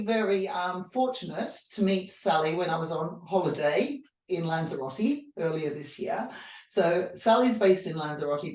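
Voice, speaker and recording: A woman's voice: mid-range voice, a little mellow. A woman hosting a conversational interview in an effusive, complimentary manner. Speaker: host of mental health chat show. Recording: roomy echo, distant from mic.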